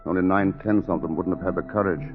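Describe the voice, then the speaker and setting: Low, tinny radio-drama husband played by an actor. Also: male voice, quick pace, soft background music, natural voice. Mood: tender, reassuring. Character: devoted, protective colonial planter husband. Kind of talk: 1940s radio drama acting. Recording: tinny, narrow 1940s radio broadcast.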